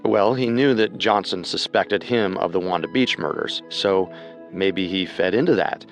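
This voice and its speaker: Male true-crime co-host, lowish pitch, serious and measured scripted read over faint music.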